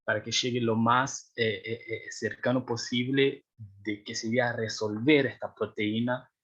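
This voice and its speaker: A man's voice: mid-range voice, steady tone, a little slow, fairly bright tone, slightly rough, lo-fi sound. A young man, a doctoral researcher explaining his work in a seminar, hesitant with many fillers and restarts, often checking if he is understood. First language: Portuguese. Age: young adult.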